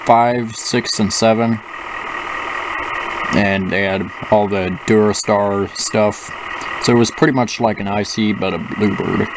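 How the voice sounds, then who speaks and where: A man's voice: lowish voice, fairly even intonation, measured pace. A young man chatting casually over gameplay, rambling with lots of fillers and restarts. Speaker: gaming channel host. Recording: noisy with engine sounds from the game. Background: simulated bus engine noise.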